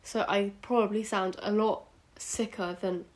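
A woman's voice: medium pitch, steady tone, measured pace. A teenage girl with a British accent hosting a casual solo podcast, hesitant and rambling, sounding sick and sniffly. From United Kingdom, born in India. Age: teenager, about 14 to 15. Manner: hesitant, rambling, self-conscious. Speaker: podcast host.

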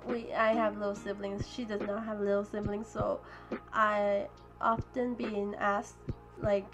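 A teenage girl co-hosting a podcast, chatting casually, halting and often giggling. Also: mid-range voice, level tone, music in the background. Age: teens. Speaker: podcast co-host. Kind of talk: casual chat.

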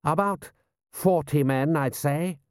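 A man voicing a dry, deferential army general with a measured, courteous manner in an audiobook. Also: on the high side, no echo, pitched above the performer's own voice.

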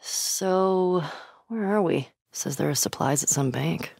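A woman's voice: deep voice, slow, vocal fry, a little mellow, no echo, studio quality, exaggerated voice. A woman playing a tough, sardonic, foul-mouthed young American survivor in a video game, blunt and naturalistic in delivery. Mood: casual. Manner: blunt, sweary.

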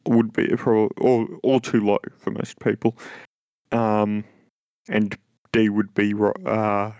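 Slow, hesitant young man with a very deep voice. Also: darkish tone, no room sound, studio quality. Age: young adult. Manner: halting, hesitant, many restarts. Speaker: podcast co-host. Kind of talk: casual chat.

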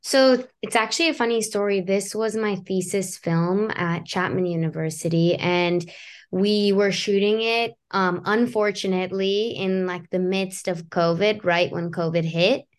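A young woman in her twenties, a writer-director guest, telling stories in a quick, chatty way with fillers. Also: mid-range voice, fairly even intonation. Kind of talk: casual interview answers.

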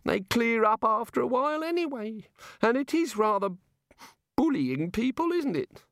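A man voicing a tiny, fussy old male god who swings between pompous threats and gloomy deflation, in a high, quavering, drawn-out voice. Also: sing-song, fairly bright tone, no echo, studio quality, heavily acted.

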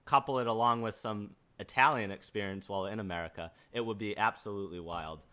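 A man's voice: on the low side, fast, bright, forceful tone. A man hosting a podcast, asking questions and steering the talk in an upbeat way. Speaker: podcast host. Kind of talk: casual interview.

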